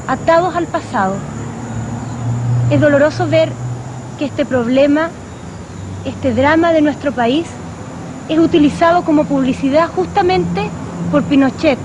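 High-pitched Chilean woman, noisy archive recording. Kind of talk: political campaign address.

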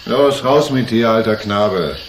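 A man's voice: fairly low pitch, unhurried, roomy sound, slight background noise, natural voice. A male actor playing a drunken, belligerent bodyguard in a radio crime drama, taunting and bullying. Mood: drunken menace. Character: drunken, belligerent bodyguard thug, menacing and mocking.

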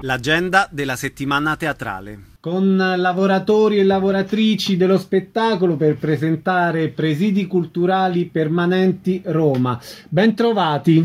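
Very high-voiced male radio host. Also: a little slow, faint music behind. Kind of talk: radio presenting, scripted introduction and questions.

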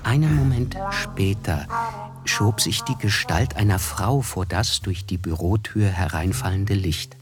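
Casting: observant storyteller, measured literary narration, lowish pitch, music clearly underneath. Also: male voice, animated. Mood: observing. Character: reflective, observant male first-person narrator. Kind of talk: literary scripted narration in radio play.

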